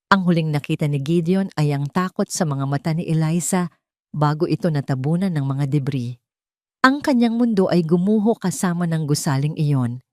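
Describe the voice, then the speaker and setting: Low-voiced woman narrating a dramatic story. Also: no echo, clean studio sound.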